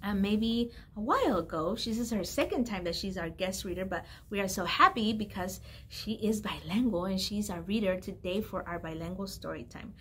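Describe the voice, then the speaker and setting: A young woman hosting a library storytime, talking casually to small children in a sing-song, animated way. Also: mid-range voice, moderate pace, few pauses. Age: young adult. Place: indoor library room with bookshelves. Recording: clear background room noise.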